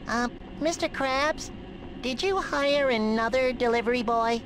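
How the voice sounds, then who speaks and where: An actor playing an eager, earnest, boyish sea sponge in a cartoon video game, with an excitable manner. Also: male voice, extremely high pitch, measured pace, noisy background. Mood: curious, earnest.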